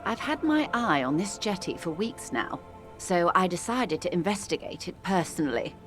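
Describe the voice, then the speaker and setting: An actress playing a wealthy, cultured negotiator in a video game, wry, composed and condescending, with refined, dryly ironic delivery. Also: female voice, lowish voice, sing-song, moderate pace, music in the background. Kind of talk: video game dialogue acting.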